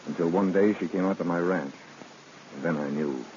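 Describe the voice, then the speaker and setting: An actor playing an earnest, determined rival in love in a vintage radio drama, speaking bluntly and insistently. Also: male voice, low voice, moderate pace, darkish tone, close to an everyday voice. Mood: solemn, pleading. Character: earnest, determined man confronting his lover's husband. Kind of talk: 1930s radio drama acting. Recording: muffled, noisy old radio broadcast recording.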